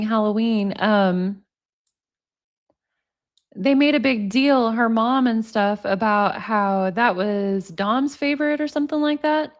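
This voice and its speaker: Slightly high-voiced woman chatting on a livestream. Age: 40s. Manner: chatty, talks to viewers in the comments. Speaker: livestream host and commentator. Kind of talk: casual livestream chat, reading texts aloud.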